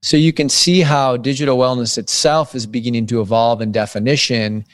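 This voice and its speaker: A man in his late thirties speaks in a mid-range voice, thinking aloud and restarting phrases as he explains his ideas. The recording is close and dry, with little sense of the room. He sounds like a guest in a relaxed podcast conversation. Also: mid-range voice, fairly quick, no room sound. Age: 30s. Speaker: guest author on digital wellness.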